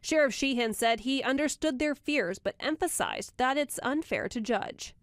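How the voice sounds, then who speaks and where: A woman's voice: sits high, lively intonation, moderate pace, no room sound, studio quality. A woman working as a television news reporter, reading scripted narration.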